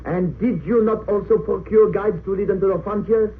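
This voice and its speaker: A man's voice: high voice, normal speed. An actor in an old-time radio drama playing a stern, sneering German military judge, imperious and sarcastic as he questions and pronounces. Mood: accusing. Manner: imperious, sarcastic, clipped interrogation. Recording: tinny narrow-band old radio recording, hissy.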